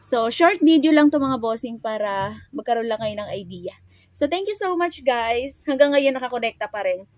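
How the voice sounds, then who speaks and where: A woman's voice: on the high side, expressive, moderate pace, dry recording. A woman with a Filipino accent giving a casual hands-on product tutorial as a vlogger, chatty and informal, speaking straight to her viewers. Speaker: vlogger and motorcycle gear seller demonstrating products.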